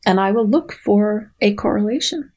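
A woman's voice: medium pitch, lively intonation, moderate pace, darkish tone, breathy edge. Precise, playful middle-aged woman explaining science. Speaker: guest scientist reading a research paper. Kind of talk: dramatic reading and explanatory conversation.

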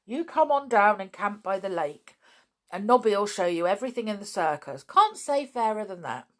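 A woman voicing a rough male circus man who is polite only with great effort. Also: mid-range voice, animated, normal speed, pitched above the performer's own voice, close to an everyday voice. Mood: gruff.

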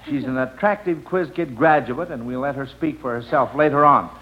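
A man's voice: medium pitch, moderate pace, lo-fi sound, a little hiss or hum. An American man hosting an old-time radio quiz show, on a vintage recording.

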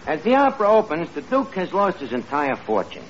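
An actor playing a brash, blustering New York tavern manager with slangy, ungrammatical speech and highbrow pretensions, in old-time radio comedy style. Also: male voice, wildly swooping pitch, fairly quick. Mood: self-important. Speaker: comedy show lead. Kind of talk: 1940s-50s radio comedy acting. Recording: muffled vintage radio recording with noisy studio audience.

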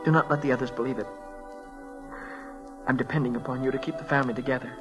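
An American man playing an earnest, grieving teenage boy in a 1940s radio drama, speaking haltingly and with emotion. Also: medium pitch, fairly even intonation, lightly acted. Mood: solemn, emotional. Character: earnest grieving teenage boy reading his soldier brother's letter. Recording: vintage 1940s radio broadcast, music behind.

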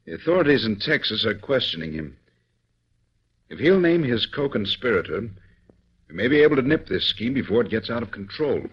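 An actor playing a grave, authoritative government official in an old radio drama, with a husky voice. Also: male voice, mid-range voice, sing-song. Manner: measured, authoritative. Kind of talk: 1940s radio acting. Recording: dull old radio recording.

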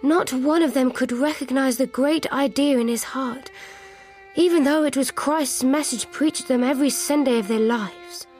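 An actress playing a reflective queen, narrating her story in measured radio drama style. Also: high-pitched, a little mellow, faint music behind, lightly acted.

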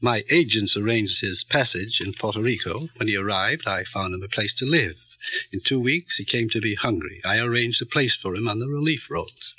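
An actor playing a smug, calculating middleman who runs a barrio, talking in a smooth, condescending, pompous way in an old radio crime drama. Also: lowish voice, animated, normal speed, sharp tone, no echo, full character voice, low-quality recording. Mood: self-satisfied.

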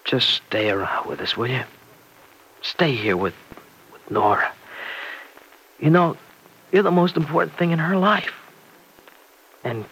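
An actor playing an anxious, devoted young son-in-law, speaking earnestly and haltingly in an old-time radio soap opera. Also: male voice, mid-range voice, bright, forceful tone, slightly rough, heavily acted. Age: young adult. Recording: noisy vintage radio drama recording.

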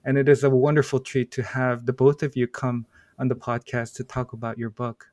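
A man hosts an academic podcast, working through long formal introductions in a measured, careful way. He trips over a name now and then and fills the gaps with a soft "uh". The sound is dry and close, with an even middle pitch. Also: no echo. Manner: measured, reads formal bios with small stumbles and fillers. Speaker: podcast host. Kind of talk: reading a guest introduction, then interviewing.

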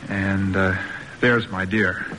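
A shy, earnest youth in an old radio drama. His voice is on the low side, respectful and halting, with faint hum behind it on the aged recording. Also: steady tone, bright, forceful tone, heavily acted. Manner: hesitant, deferential. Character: shy, earnest, respectful young man, a budding sculptor. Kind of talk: classic American radio drama acting.

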